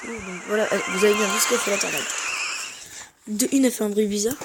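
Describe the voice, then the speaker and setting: A man's voice: sits high, quick pace, heavy background noise. A man with a head cold giving rambling, hesitant gameplay commentary to his viewers. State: has a head cold, congested. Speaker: gamer.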